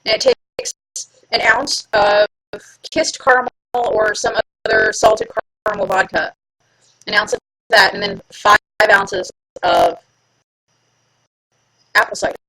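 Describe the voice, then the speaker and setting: A woman's voice: mid-range voice, huge pitch swings, a little slow, vocal fry, pauses often, sharp tone, rough recording. A woman hosting a live stream from home, chatting casually and playfully with her viewers and teasing herself. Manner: chatty, playful, self-mocking. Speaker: livestream host.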